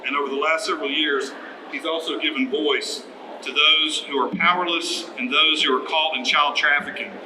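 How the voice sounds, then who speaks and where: A middle-aged American man hosting a public announcement, speaking in a halting, enthusiastic way. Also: fairly high pitch, steady tone. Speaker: event host, organization leader. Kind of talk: live introduction speech at a public announcement. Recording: echoey room with clear background noise.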